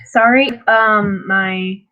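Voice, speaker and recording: A woman's voice: mid-range voice, steady tone, drawn-out words, phone-quality sound. A teenage girl talking casually about her daily Bible reading, stuffed up and sniffling, rambling with lots of fillers. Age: teens. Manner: rambling, many fillers and restarts. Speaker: vlogger. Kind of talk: casual devotional talk.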